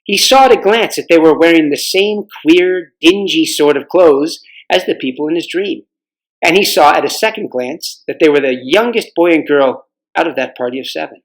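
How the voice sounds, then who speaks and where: Higher-voiced man reading a storybook aloud. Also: normal speed, poor audio. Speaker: volunteer story reader. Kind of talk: read-aloud storybook narration.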